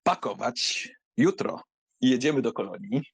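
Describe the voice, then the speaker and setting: Self-pitying young count, theatrical, drawn out, dry close sound, audio drama. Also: male voice, medium pitch, lively intonation, measured pace, gravelly, husky, dry recording, full character voice. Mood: brisk, commanding. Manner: florid, melodramatic.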